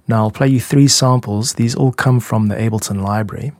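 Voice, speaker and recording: A man hosting a podcast, explaining his own music in a measured, reflective way. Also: medium pitch, steady tone, a little mellow, no echo. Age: adult. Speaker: podcast host and music producer.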